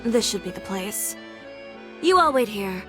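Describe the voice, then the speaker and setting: A woman playing a cool, sharp-tongued boutique owner who turns quietly menacing, in a game cutscene. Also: medium pitch, animated, a little slow, music in the background, close to an everyday voice. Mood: wry.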